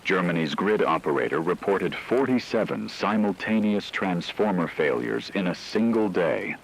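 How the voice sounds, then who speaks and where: Man acting as grave disaster expert, low voice, measured formal delivery, scripted radio drama, faint hiss. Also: animated, creaky, low-quality recording. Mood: grave, clinical. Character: grave male expert briefing on a global disaster.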